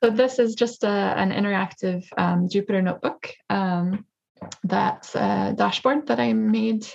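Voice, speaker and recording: A woman, a native English speaker, explaining her survey research as a guest on a video call in a thoughtful, steady way. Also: mid-range voice, unhurried, gravelly, muffled recording.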